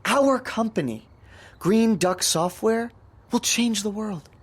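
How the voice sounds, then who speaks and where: An actor playing a scheming, self-deluded young American entrepreneur turned kidnapper in an audio drama, talking in a glib, grandiose way. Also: male voice, high voice, expressive, slow pace, husky, heavily acted. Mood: grandiose, defiant.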